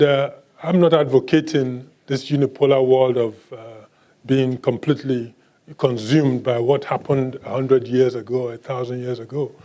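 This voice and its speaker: A man whose first language is Igbo, answering questions at a book talk in a mellow, dark-toned voice. He speaks slowly and haltingly, with many restarts. Now and then he adds a bit of dry, self-mocking humor. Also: mid-range voice. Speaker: author.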